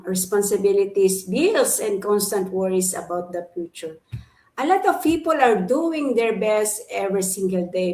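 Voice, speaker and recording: A woman from the Philippines answering questions on a live online panel, earnest and steady, listing her points one after another. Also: medium pitch, echoey room, poor audio. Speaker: guest panelist.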